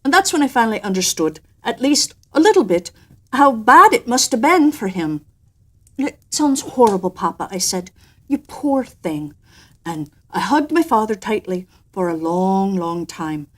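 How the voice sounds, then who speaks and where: A woman's voice: medium pitch, sing-song, measured pace, fairly bright tone. A sweet but cheeky little girl, acted by a woman, telling her own story. She is dramatic and folksy, with exclamations, in a storybook recording. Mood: startled, earnest.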